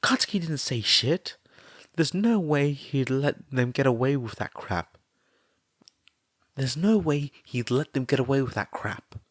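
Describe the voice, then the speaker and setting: A man voicing a brash, foul-mouthed blonde mother who yells and curses in a rage, raised high above his usual voice, in a dry recording. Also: animated, a little slow, no room sound. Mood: baffled. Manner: loud cursing rant.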